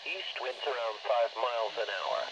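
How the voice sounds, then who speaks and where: A man's voice: medium pitch, lively intonation, muffled recording. Synthetic male weather radio voice, noisy. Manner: measured, formal, synthetic delivery. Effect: synthetic text-to-speech voice played through a small radio speaker. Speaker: automated weather radio announcer. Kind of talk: scripted weather forecast reading. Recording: noisy camera capture of radio speaker. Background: clear background noise.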